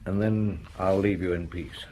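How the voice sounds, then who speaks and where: An actor playing a calm, probing police inspector in a radio drama, often murmuring as he listens. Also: low voice, measured pace, slight background noise, poor audio. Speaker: detective.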